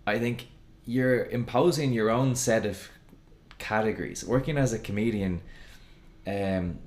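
A man's voice: fairly low pitch, unhurried, a little mellow. A man hosting a podcast interview, asking thoughtful questions with hesitant fillers. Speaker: podcast host, teacher. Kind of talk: casual interview chat. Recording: roomy echo.

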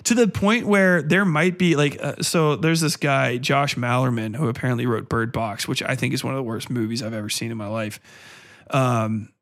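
A man's voice: medium pitch, steady tone, normal speed, fairly bright tone. A man ranting casually as a podcast host, blunt, profane and exasperated, rambling through his opinions in slangy speech.

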